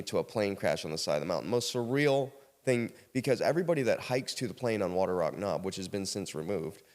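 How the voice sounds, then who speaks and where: A man's voice: fairly low pitch, darkish tone. An American man from South Carolina telling casual personal stories as a podcast guest, acting out bits of conversation as he goes. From South Carolina, United States. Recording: reverberant hall-like room sound.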